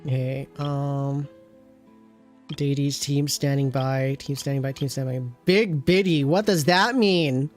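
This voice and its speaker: A streamer giving casual, rambling game commentary, teasing and chatting with viewers, in a middle-pitched voice. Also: steady tone, a little slow, soft background music.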